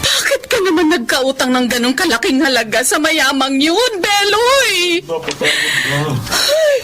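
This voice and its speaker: Shrill, lamenting mother. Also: wildly swooping pitch, takes it slowly, pushed and bright, faint music behind, full character voice. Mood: distressed. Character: distressed, lamenting wife and mother. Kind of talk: Filipino radio drama acting.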